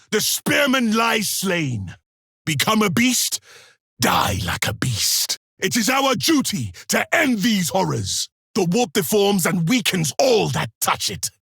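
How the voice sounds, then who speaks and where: Grim warrior, video game battle barks, high-set voice, hard forceful edge, raspy, clipped, solemn. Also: male voice, dry recording, clean studio sound, exaggerated voice. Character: grim, duty-bound male space-marine soldier, impatient for battle.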